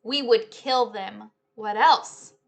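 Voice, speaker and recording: A woman's voice: a little high, slow, gravelly. A woman voicing smug, dim male art thieves from a children's storybook in showy, conspiratorial talk. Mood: menacing, cocky.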